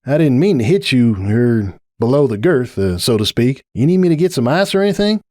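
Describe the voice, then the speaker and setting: A man's voice: mid-range voice, very animated, a little fast, darkish tone, dry recording, clean studio sound, voice pushed up, natural voice. Man voicing a folksy, teasing cartoon farm mare. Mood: apologetic. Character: female cartoon pony, hardy teasing good-natured farm athlete.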